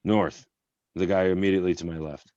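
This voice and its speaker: A man's voice: low-pitched, fairly even intonation, brisk, darkish tone. A man chatting casually as a player in a tabletop roleplaying game over an online call. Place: indoors on an online group call. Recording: muffled, boxy online call with room echo.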